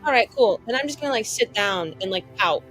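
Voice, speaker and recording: A woman's voice: medium pitch, expressive, fast talker, fairly bright tone, soft background music, poor audio. A woman playing a tabletop game, chatting casually in a blunt, sardonic, sweary way.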